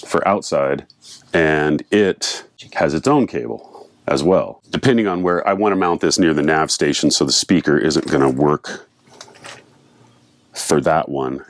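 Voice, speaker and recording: A man's voice: rumbling low, no room sound. An American man in his fifties explaining a hands-on job in a casual vlog style, informally thinking aloud, recorded on a clip-on mic in a small boat cabin. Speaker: boat owner presenting a DIY installation. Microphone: clip-on lavalier on the chest.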